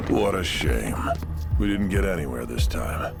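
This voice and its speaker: A man's voice: a bass voice, vocal fry, full character voice. A man playing a cold, detached overseer in a video game, clinical and dismissive. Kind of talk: video game character acting. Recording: game trailer audio under very loud music.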